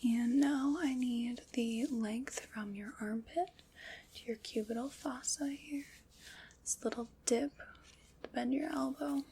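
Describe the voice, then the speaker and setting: A woman plays a calm, courteous armor fitter who whispers softly and takes her time over every word. Her breathy whisper sits a little high, with a gravelly edge on the voiced words, and there is a faint hiss behind her. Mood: gentle, attentive. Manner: soft whispering, slow and attentive. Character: calm polite woman fitting armor, measuring. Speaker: ASMR roleplay creator. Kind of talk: ASMR roleplay whispering.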